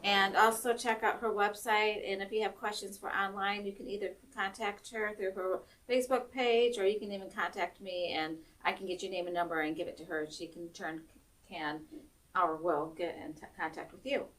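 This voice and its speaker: A woman's voice: medium pitch, steady tone, a bit bright. Distant-miked woman hosting an interview. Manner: encouraging, quick back-channel replies. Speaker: host. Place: senior center room.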